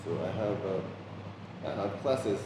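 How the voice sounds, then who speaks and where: A man with a Swedish accent talks easily in a low voice, pausing often with fillers. He sits away from the mic in a reverberant room. Traffic noise hums behind him. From Sweden. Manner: easygoing, halting, many fillers. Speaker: dance teacher. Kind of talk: casual interview. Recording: echoey room, distant mic, background noise.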